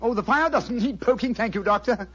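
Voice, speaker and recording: An actor with a British accent playing an urbane, unflappable gentleman detective, suave and dryly ironic, in an old-time radio drama. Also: extremely high pitch, fairly quick, background noise. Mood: wry. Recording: dull lo-fi vintage radio recording.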